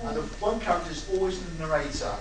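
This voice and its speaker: A man's voice: on the high side, fast talker, a little hiss or hum. A man hosting a casual live brainstorming session, repeating audience suggestions back in a jokey, exasperated way. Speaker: panel host gathering audience suggestions. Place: convention hall with live audience. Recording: echoey hall from far off, crowd chatter.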